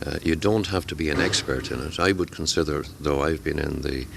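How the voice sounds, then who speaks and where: A man's voice: very deep voice, brisk, creaky, fairly bright tone, slight background noise. A middle-aged man who tutors amateur radio classes, talking at a convention in a hesitant way, with many fillers and repeated words. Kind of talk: conversational interview.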